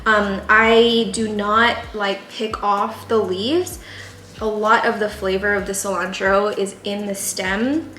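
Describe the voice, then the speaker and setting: A woman's voice: mid-range voice, level tone, slow, bright, forceful tone, a little hiss or hum. Chatty young woman in an echoey kitchen. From San Jose, California. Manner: chatty and rambling, many fillers. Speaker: cooking video host. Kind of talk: casual cooking tutorial. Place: home kitchen. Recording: echoey kitchen with cooking clatter. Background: pots, dishes and knife clicks.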